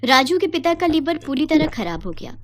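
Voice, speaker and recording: A woman's voice: sits high, very animated, moderate pace, slight background noise. A woman narrating a scripted moral story.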